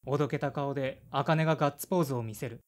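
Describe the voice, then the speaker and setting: A man's voice: mid-range voice, fast talker, lightly acted. A man playing a blunt, awkward man of thirty-five in an audio drama, in casual dialogue and brooding narration. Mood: reflective. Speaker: lead character and narrator.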